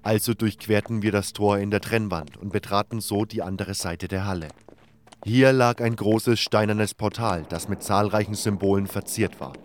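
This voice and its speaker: A man's voice: fairly low pitch, fairly even intonation, normal speed, slight background noise. A man narrating a scripted fantasy adventure story in the first person.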